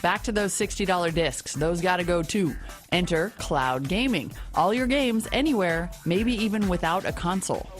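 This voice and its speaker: A woman's voice: lowish voice, animated, normal speed. A woman host narrating a scripted report in a wry, opinionated way. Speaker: show host. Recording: music bed underneath.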